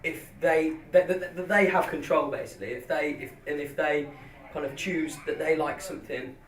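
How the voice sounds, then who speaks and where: A man in a band, chatting casually and restarting his sentences as he thinks aloud. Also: on the high side. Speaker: band member. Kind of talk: casual group chat. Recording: echoey, distant.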